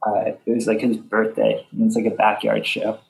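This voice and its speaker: A young man from Canada, a band member answering interview questions in soft, halting casual talk full of fillers. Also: on the low side, completely flat delivery, gravelly. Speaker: band leader, interview guest. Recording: echoey room.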